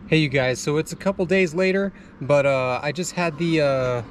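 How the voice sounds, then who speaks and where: A young man casually narrating a how-to vlog while installing a radio in his pickup, relaxed and chatty with frequent pauses. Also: a little high, a little hiss or hum.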